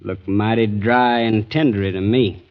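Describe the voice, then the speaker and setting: An actor playing a polite, plain-spoken Confederate corporal with a Southern US accent in an old radio drama. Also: male voice, on the low side, steady tone, moderate pace, close to an everyday voice. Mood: polite, matter-of-fact. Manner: deferential, folksy. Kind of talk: 1940s-50s radio acting. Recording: dull vintage radio recording.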